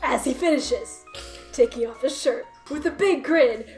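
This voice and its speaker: A woman's voice: high-pitched, measured pace, darkish tone. A woman narrating a comic story as a dramatic reading. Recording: roomy echo, music behind.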